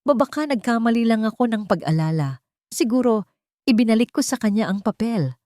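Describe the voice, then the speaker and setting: A woman's voice: a little high, animated, a little fast, warm tone, no echo, voice pushed up, close to an everyday voice. Woman as male company heir, Filipino accent, scheming, falsely solicitous, audio drama villain, dry sound. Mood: flustered.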